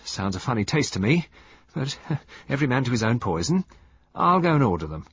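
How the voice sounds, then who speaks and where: A man's voice: medium pitch, big pitch swings, fairly quick, a bit bright, breathy edge, no room sound, voice pushed up, heavily acted. A man voicing an affable, easygoing young husband. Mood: cheerful. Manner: cheerful, then flustered. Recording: dull lo-fi recording.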